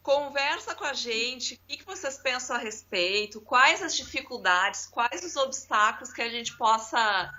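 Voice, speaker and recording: A woman, co-hosting a casual chat and telling lively stories straight to the viewers. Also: fairly high pitch, unhurried, hardly any pauses. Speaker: co-host. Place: abroad, remote call.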